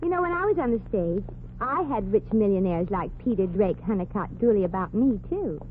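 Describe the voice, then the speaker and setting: A woman's voice: mid-range voice, lively intonation, a little fast, slight background noise, close to an everyday voice. An actress playing a streetwise, sarcastic young showgirl with a brassy, slangy New way of talking, in 1940s radio comedy style. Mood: wry. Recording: tinny old radio broadcast.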